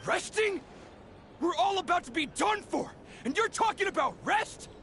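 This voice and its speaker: Agitated townsman, strained high voice. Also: expressive, normal speed, a little hiss or hum, full character voice. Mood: angry, alarmed. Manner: shouting, demanding. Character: agitated, accusing townsman leading an angry mob. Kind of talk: anime game voice acting.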